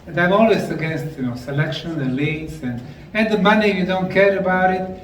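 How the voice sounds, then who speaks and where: A man with a Bosnian accent giving a deliberate, emphatic lecture to a live audience. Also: high-pitched. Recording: echoey room, mic far off, faint noise.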